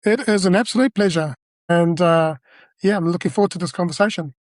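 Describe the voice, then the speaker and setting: A man's voice: high-pitched, a little fast, no echo, studio quality. A man hosting a podcast, reading a polished motivational intro and then asking interview questions. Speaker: podcast host.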